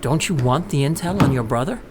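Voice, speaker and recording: A mysterious suited go-between played by a man, cool and businesslike. His mid-pitched voice is clipped and formal, an audio drama villain-like negotiator over faint ambient noise. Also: lively intonation, a little fast, lightly acted. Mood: cool, measured.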